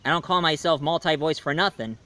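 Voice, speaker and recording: A man's voice: a little high, fairly quick, bright, forceful tone, a little hiss or hum. A man talking casually to camera, announcing his own audio drama in a rambling, enthusiastic way with frequent restarts. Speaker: YouTube reviewer.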